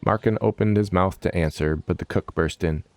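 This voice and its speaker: A man's voice: sits low, moderate pace, no echo. A man reading scripted fantasy narration in a dramatic storytelling style.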